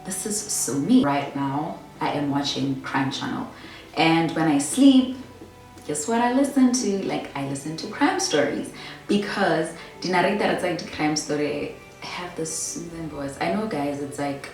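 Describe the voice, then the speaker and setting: A young woman with a Botswana accent talks through a makeup routine in a small bedroom, chatty and animated, forever saying "like". Her voice sits low, and it bounces around a very echoey room from a little back from the mic. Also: measured pace, recorded from a distance, soft background music. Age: young adult. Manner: chatty, lots of "like" and "my girl", animated storytelling. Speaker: beauty vlogger. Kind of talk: casual chat while doing makeup.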